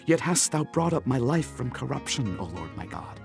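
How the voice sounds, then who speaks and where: A man playing a Hebrew prophet, solemn and fervent, in a measured dramatised scripture reading. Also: mid-range voice, sing-song, creaky, a little mellow, faint music behind. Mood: anguished prayer.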